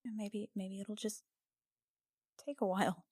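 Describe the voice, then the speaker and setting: A woman improvising casually as a tabletop game player, halting and often repeating her words. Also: medium pitch, fairly even intonation, darkish tone, slightly rough, no room sound, clean studio sound.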